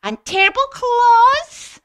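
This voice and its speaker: A woman voicing a clever little male storybook mouse, high and squeaky, polite and teasing with exaggerated expression. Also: huge pitch swings, slow, a bit bright, full character voice. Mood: gleefully boastful.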